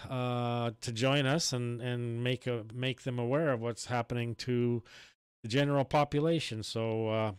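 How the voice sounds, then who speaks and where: Older man, very clear, dry sound. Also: medium pitch, no echo. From Canada. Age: 60s or older. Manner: halting, rambling, folksy. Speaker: amateur radio operator and live streamer. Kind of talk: casual ham radio chat.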